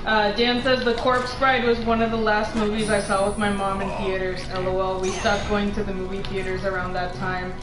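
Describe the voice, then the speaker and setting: A young adult woman co-hosting a game stream, chatting casually in an animated, rambling way. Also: medium pitch, moderate pace, few pauses. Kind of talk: casual chat over gameplay. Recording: roomy stream with game music behind. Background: video game music.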